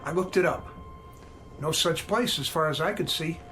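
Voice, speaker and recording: An actor playing a folksy, easygoing American train conductor in a radio drama, speaking in clipped phrases. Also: male voice, fairly high pitch, normal speed, a bit bright, soft background music, close to an everyday voice, poor audio. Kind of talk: modern radio drama acting.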